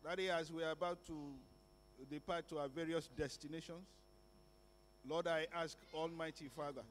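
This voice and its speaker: Middle-aged pastor praying fervently. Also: male voice, fairly high pitch, level tone, moderate pace, a little hiss or hum. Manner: fervent prayer, repeating divine titles. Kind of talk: closing prayer. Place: large wood-panelled church hall. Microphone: handheld microphone.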